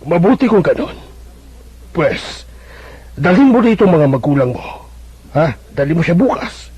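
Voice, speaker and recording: A stern Filipino father speaks in a raspy voice with wide, animated pitch movement. He is firm and insistent, in the style of a radio soap opera. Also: measured pace, no echo, full character voice. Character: stern, insistent father demanding a legal wedding. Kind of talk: Filipino radio drama acting. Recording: dull lo-fi radio broadcast.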